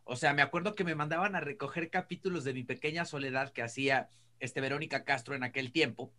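A man's voice: mid-range voice, quick pace, pushed and bright. A middle-aged man with a Mexican accent chatting casually and telling anecdotes as a panelist on a video call. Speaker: entertainment show panelist. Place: indoor room, video call. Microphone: video call mic.

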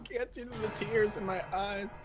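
A man's voice: sits high, steady tone, measured pace, over music. A man chatting and teasing casually during a video game, easily breaking into giggles as he talks. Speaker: gamer on a group voice chat.

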